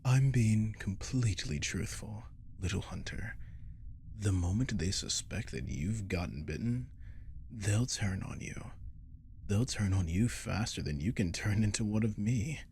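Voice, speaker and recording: A man playing a captive werewolf in an ASMR roleplay, seductive, menacing and possessive, speaking in a slow, coaxing, teasing murmur close to the mic. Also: on the low side, a little mellow, a little hiss or hum. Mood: insinuating. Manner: slow, coaxing, teasing, often dropping to whisper. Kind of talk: ASMR audio roleplay acting. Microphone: close microphone.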